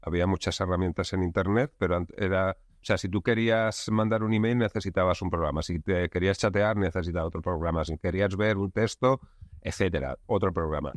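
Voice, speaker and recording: A low-pitched man answers interview questions. He explains steadily and leans on fillers as he builds his points. The recording is dry and close-miked. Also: no echo. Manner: measured, explanatory, leans on filler words like digamos. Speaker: guest expert. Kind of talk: conversational interview.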